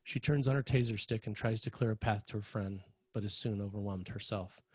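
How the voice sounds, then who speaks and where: A man narrating scene directions for a screenplay table read in a scripted style, with a husky voice. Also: on the low side, a little fast, a little mellow, no room sound. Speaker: narrator reading stage directions.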